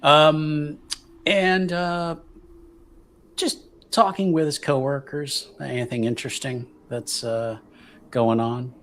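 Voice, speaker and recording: A man casually describing his character's actions as a tabletop game player, halting and thinking aloud. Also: on the high side, fairly even intonation, a bit bright, slight background noise. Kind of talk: casual improvised game talk.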